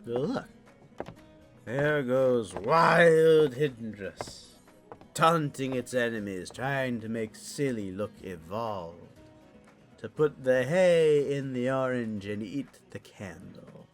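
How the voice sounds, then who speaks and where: A tipsy raccoon nobleman for an audio drama. He rambles in florid, sing-song phrases like a lovestruck husband, slow and swooping widely in pitch. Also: lively intonation, faint music behind. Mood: drunken glee.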